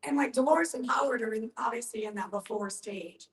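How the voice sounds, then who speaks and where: A woman's voice: fairly high pitch, a little fast. A woman speaking as a panelist in an earnest group discussion, explaining her points with emphasis. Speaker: panelist at a discussion on end-of-life planning. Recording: roomy echo, distant from the mic.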